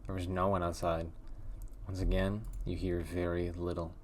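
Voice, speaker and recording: A man reading a text horror game aloud in a hushed, measured way with a wondering, uneasy tone. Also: deep voice, flat delivery, a little hiss or hum. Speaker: let's play host.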